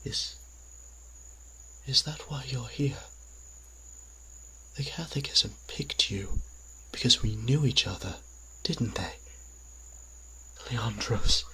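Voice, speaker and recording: Guy acting as a tormented old vampire priest, breathy and tense, slow pleading delivery, audio drama. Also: mid-range voice, pushed and bright, heavily acted, rough recording. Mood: desperate, disbelieving. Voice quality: breathy, husky, often near whisper. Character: anguished, devout male vampire priest, centuries old, imprisoned.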